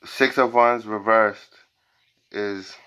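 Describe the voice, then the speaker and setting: A man's voice: fairly low pitch, steady tone, takes it slowly, pushed and bright, clear, resonant tone, rough recording. A man reading tarot cards for viewers in an informal, improvised way, blunt and emphatic, repeating phrases and swearing at times. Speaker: tarot and psychic reader hosting a reading.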